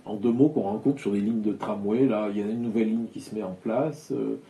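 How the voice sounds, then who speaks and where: Male interviewer, brisk prompting questions, dark mellow voice. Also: lowish voice, fairly even intonation, creaky. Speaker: interviewer host. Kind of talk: radio interview.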